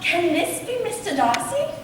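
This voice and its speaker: A woman plays an earnest, easily flustered young gentlewoman of period drama. Her high voice is projected in formal phrases. It rings through a very echoey hall, picked up from far off. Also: big pitch swings, unhurried, heavily acted. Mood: wondering. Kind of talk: amateur stage play acting. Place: stage in a theatre or hall, distant microphone. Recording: echoey theatre hall from far off, faint noise.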